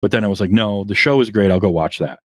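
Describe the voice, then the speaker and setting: Low-voiced man chatting casually. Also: fast, no echo, clean studio sound. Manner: rambling, restarts often, chuckles at himself. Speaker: podcast guest. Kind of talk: casual interview chat.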